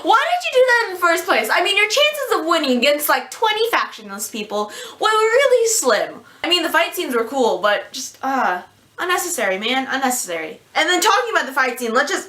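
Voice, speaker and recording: A young woman from the United States giving a chatty, animated movie review in a casual vlog. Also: high-pitched, reverberant. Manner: chatty, animated, slips into character voices.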